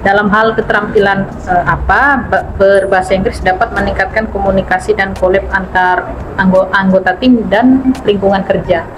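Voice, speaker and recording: An Indonesian woman hosts a casual podcast interview, her voice at a middle pitch. She asks questions and affirms what her guest says. Clear background noise sits under the recording. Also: steady tone, normal speed. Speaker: podcast host.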